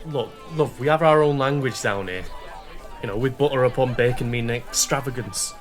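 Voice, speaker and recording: A man's voice: mid-range voice, moderate pace, faint music behind, lightly acted. An actor playing a cheeky but kindly young working-class Victorian man with an English accent, informal and slangy, in a period radio drama. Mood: teasing.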